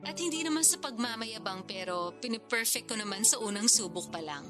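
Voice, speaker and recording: A woman acting as a modest, talkative single mom in her thirties in a radio romance. Her voice is fairly high. Music is clearly audible underneath. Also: expressive, brisk, close to an everyday voice. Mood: modest, chatty. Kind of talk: radio drama dialogue. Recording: clear music bed behind dialogue.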